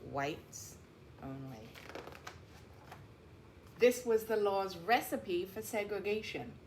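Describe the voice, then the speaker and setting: A woman reading a picture book aloud like a teacher, with deliberate, emphatic phrasing and explanatory asides, in a roomy recording. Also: fairly low pitch. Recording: roomy echo, faint background noise.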